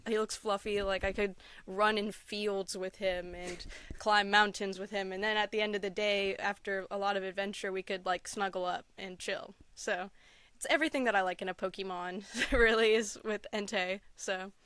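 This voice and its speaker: A woman speaks as an enthusiastic interview guest in casual, personal storytelling. Her voice is crisp and sits at a medium pitch. The sound is close and dry, and she tends to giggle as she talks. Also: steady tone, moderate pace, fairly bright tone, dry recording. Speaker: podcast guest.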